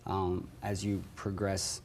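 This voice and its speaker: A man's voice: low voice, monotone, slow pace, a little mellow, a little hiss or hum. A man from West Virginia, a hospital nurse manager, giving polite and explanatory interview answers with a bit of dry humor.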